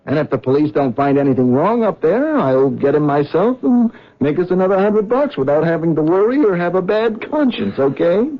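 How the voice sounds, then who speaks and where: An earnest cab driver. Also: male voice, a little high, sing-song, fairly quick, few pauses. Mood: insistent. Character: anxious honest male cab driver. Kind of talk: 1970s radio drama acting. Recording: dull old radio broadcast.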